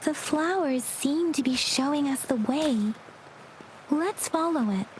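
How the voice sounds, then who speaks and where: A woman playing a curious, composed and courteous young adventurer in a game, speaking in a measured way. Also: sits high, lively intonation, slight background noise, rough recording. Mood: focused. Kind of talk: scripted game dialogue. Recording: music behind the voice.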